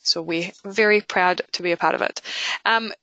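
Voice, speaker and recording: A woman with a New Zealand accent presenting a product webinar in a conversational way, often pausing with fillers. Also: lowish voice, pushed and bright, no echo, lo-fi sound. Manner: conversational, with frequent filler pauses.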